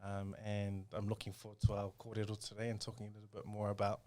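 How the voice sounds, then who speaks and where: Low-pitched New Zealand man, informal. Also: monotone, fast, a little mellow. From South Auckland, New Zealand. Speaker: podcast guest, design studio co-founder. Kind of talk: casual interview talk.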